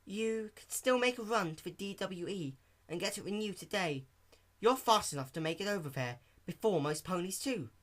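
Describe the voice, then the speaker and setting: A man voicing a timid, gentle female cartoon pony in a soft, hesitant, polite way. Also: high voice, animated, moderate pace, voice pushed up. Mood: encouraging.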